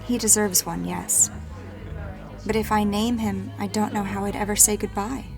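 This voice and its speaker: An actress playing a gentle, well-spoken woman in a fantasy audio drama, polite and thoughtful. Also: medium pitch, steady tone, moderate pace, gravelly, lightly acted. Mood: tender, wistful. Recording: music under the voice.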